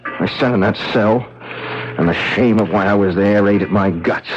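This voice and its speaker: Man playing a brooding, bitter ex-con, low and intense. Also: moderate pace, slight background noise, natural voice. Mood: anguished. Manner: intense, hardboiled, emotional delivery. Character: bitter, brooding ex-convict, wounded and still in love. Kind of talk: 1970s radio drama acting. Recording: muffled 1970s radio broadcast, music behind.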